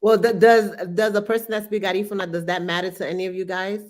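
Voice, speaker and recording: A woman's voice: medium pitch, flat delivery, fast talker, a little mellow. A woman hosting a casual panel, asking direct, probing questions in informal speech. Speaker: panel host.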